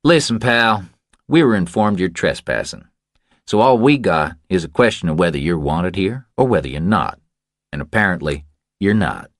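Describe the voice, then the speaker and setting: A man's voice: low-pitched, animated, moderate pace, no echo, pitched above the performer's own voice. Guy doing a blunt young texas ranger sergeant, clipped and bossy, crime audiobook, close dry sound. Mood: impatient, firm. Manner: clipped, authoritative, unyielding.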